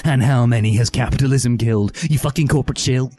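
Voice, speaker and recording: A man voicing an indignant, defiant teenage boy revolutionary who yells, in a satirical story. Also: mid-range voice, quick pace, soft background music, close to an everyday voice. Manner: yelling, defiant.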